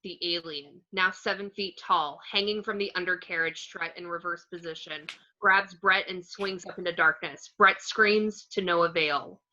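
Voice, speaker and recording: A woman's voice: fairly low pitch, steady tone, moderate pace, few pauses, sharp tone. A woman narrator reading out screenplay action descriptions on a dull, lo-fi home recording.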